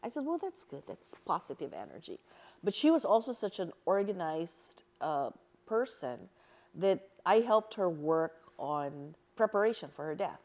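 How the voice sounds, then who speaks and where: Middle-aged woman from the philippines, lowish voice, earnest and lively, laughs a lot telling her stories. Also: unhurried. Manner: earnest, animated, laughs easily. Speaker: interview guest. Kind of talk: reflective personal storytelling.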